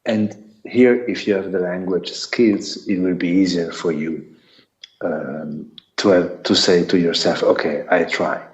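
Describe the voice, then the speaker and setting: A middle-aged man with an Italian accent giving a lecture to students, deliberate and repetitive, counting his points off one by one. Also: low-pitched, steady tone. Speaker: sports lawyer giving a talk. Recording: echoey, dull lo-fi sound.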